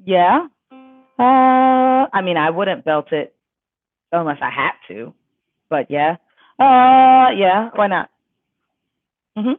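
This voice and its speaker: A woman's voice: medium pitch, animated, slow pace, pauses often, dry recording, faint music behind. A woman vocal coach in a casual livestream question-and-answer session, reading viewers' questions aloud and answering in a chatty, emphatic way with many fillers. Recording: tinny dry phone-like sound.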